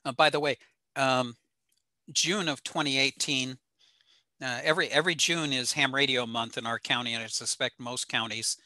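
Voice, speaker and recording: An older American man giving an informal slide lecture in an explanatory, halting way with frequent pauses. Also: mid-range voice, steady tone, bright, forceful tone, no echo. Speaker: presenter, ham radio operator. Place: small home room indoors.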